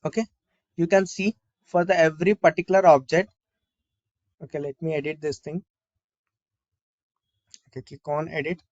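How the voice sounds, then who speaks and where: Man, slightly high voice, tutorial instructor, question-and-answer explaining, dry sound with no room echo. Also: steady tone, normal speed, pauses often, darkish tone, highs cut off. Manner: repetitive explaining with rhetorical questions. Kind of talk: informal step-by-step screen-share tutorial.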